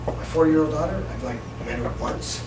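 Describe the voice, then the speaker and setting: A man's voice: fairly high pitch, a little fast. A middle-aged man talking frankly and bluntly to students at a school assembly. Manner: candid, blunt, plain-spoken. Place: school hall. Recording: echoey hall from far off, noisy room.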